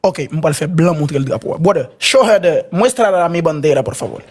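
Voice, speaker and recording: A man chatting loudly on a live video stream, laughing often and switching between English, Spanish and French. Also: a little high, lively intonation, quick pace. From Haiti. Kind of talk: boisterous live-stream chat.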